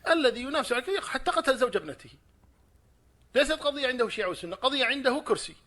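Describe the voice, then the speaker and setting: A man's voice: very high voice, expressive, very fast, pushed and bright. A man giving a formal speech, declaiming and posing rhetorical questions. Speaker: speaker in a played clip.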